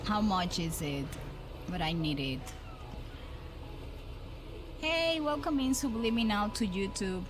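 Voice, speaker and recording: A woman's voice: mid-range voice, very animated, slow, long pauses, background noise. A woman streamer with a Dominican accent chatting casually with viewers, rambling with frequent restarts and repeated words. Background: music.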